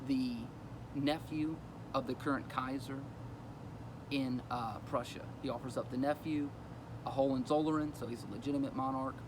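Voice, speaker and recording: A man's voice: mid-range voice, background noise. A man explaining a history lesson in a casual, conversational way, with fillers as he goes. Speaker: teacher.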